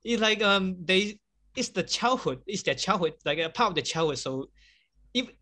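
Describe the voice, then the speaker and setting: A man whose first language is Chinese, chatting casually as an interview guest, laughing often and filling pauses with "like" and "um.". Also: high-pitched, animated, pushed and bright.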